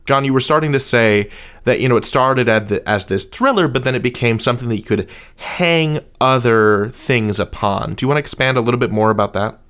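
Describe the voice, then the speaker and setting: A man's voice: medium pitch, fairly quick, a bit bright, clean tone. Male interview host. Medium register, conversational yet articulate, lays out questions at length. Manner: articulate, wordy, builds up long questions.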